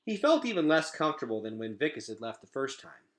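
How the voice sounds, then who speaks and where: A man reading a children's storybook aloud as an expressive narrator. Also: mid-range voice, fast talker. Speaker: read-aloud reader.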